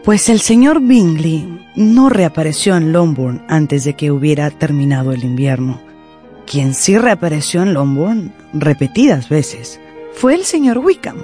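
A woman narrating a literary radio drama in an expressive, deliberate storytelling style. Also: deep voice, faint music behind.

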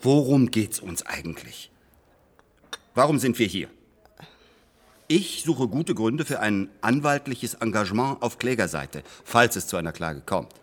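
An actor playing a pompous, irritable lawyer in a radio play, speaking emphatically and rhetorically. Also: male voice, lowish voice, sing-song, measured pace. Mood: exasperated. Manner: emphatic, rhetorical, easily exasperated. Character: pompous, irritable male lawyer praising national tradition. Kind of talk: naturalistic radio play dialogue.

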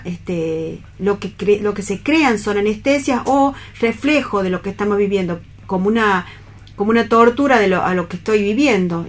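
A woman with an Argentine accent talking earnestly and haltingly in a reflective radio discussion. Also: medium pitch. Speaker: panelist on a radio theatre programme.

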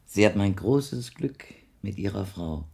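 An actor playing a courteous, wistful blind widower in a German radio play, speaking in florid, halting, ceremonious phrases. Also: male voice, lowish voice, expressive, vocal fry, a little mellow, a little hiss or hum. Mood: polite, effusive. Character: blind widowed older man, courteous, wistful, insinuating visitor.

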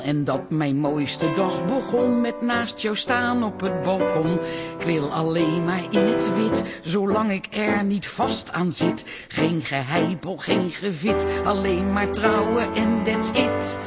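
A woman's voice: mid-range voice, takes it slowly, over loud music, lightly acted. An actress playing a wistful, defiant woman, singing in musical theatre style. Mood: wistful, playful.

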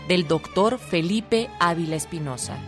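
A woman's voice: on the low side, lively intonation, a little slow, music in the background. A woman narrating a scripted historical radio drama in a formal announcer manner.

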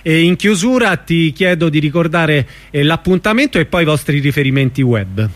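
Casting: male radio host, fairly high voice, conversational interview questioning. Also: lively intonation, moderate pace.

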